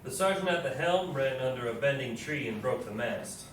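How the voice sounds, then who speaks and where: A plain-spoken explorer and army officer in his thirties acted by a man reads his journal aloud on stage. The voice is mid-pitched and comes from some distance in a very echoey room, with faint noise. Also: lively intonation, normal speed, fairly bright tone, natural voice. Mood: matter-of-fact. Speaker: local stage actor. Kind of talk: readers theatre, reading journal entries aloud. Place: live readers theatre performance in an echoey indoor space.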